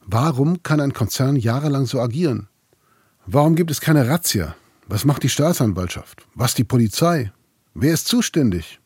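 A man's voice: medium pitch, animated, moderate pace, darkish tone, husky, dry recording, studio quality. A man narrating a scripted investigative podcast as its reporter and host.

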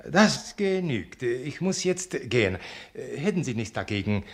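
A man's voice: medium pitch, very animated, a little slow, husky, exaggerated voice. An actor playing a courteous, learned male zoo director in a radio drama, speaking formally and politely with some hesitation. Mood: courteous, intrigued.

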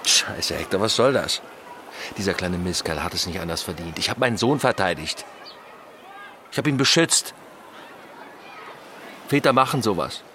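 A blustering family man in a radio drama, defensive and quick to anger. His voice swings widely in pitch and he drives his points home emphatically. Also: expressive, bright, forceful tone, a little hiss or hum. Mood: angry, defensive. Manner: emphatic, indignant, repeats himself. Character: hot-tempered husband and father, defensive, blustering. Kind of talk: naturalistic radio drama acting.

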